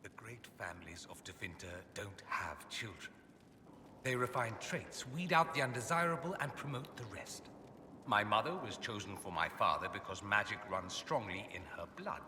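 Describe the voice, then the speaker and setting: An actor playing a witty, proud aristocratic mage exile in a video game, urbane and theatrical with dry self-mockery. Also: male voice, fairly low pitch, normal speed, lightly acted. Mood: dry, bitter. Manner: urbane, flamboyant, dryly self-mocking. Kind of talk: video game dialogue acting. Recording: echoey reverberant hall, faint background noise.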